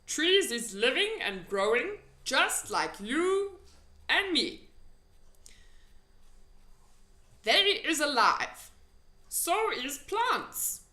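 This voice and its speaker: Woman playing an earnest big friendly giant, swooping high. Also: very animated, slow, bright, forceful tone, roomy sound, heavily acted. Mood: solemn. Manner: muddled folksy grammar, emphatic delivery.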